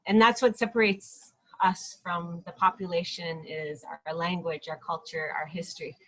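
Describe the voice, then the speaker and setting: A middle-aged woman from South Dakota introducing herself as an election candidate in a live video stream, speaking in an earnest, measured way with frequent ums and pauses. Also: mid-range voice, a bit bright, rough recording. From Lake Traverse Reservation area, South Dakota. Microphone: webcam or phone, live video stream.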